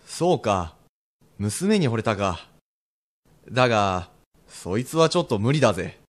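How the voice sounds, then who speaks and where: A man plays a blunt Edo merchant with a rakish past in a radio drama. His voice is fairly low, and his talk is rough, frank and casual. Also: big pitch swings, measured pace. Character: blunt ex-rake master of an Edo kimono store. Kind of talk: period radio drama acting.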